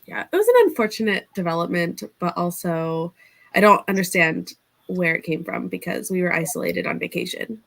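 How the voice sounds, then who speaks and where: A woman chatting casually in a group call while recovering from an illness that has left her voice deeper than usual, laughing things off. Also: moderate pace, creaky. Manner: relaxed, easygoing, laughs things off. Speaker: book club co-host.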